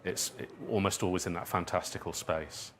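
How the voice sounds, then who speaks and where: Man, very low voice, writer in informal Q&A, reflective, halting delivery, faint background noise. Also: steady tone, fairly bright tone. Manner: reflective, halting, hedging with self-deprecating asides. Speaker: guest speaker, writer and dramatist. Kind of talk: informal audience Q&A at a talk.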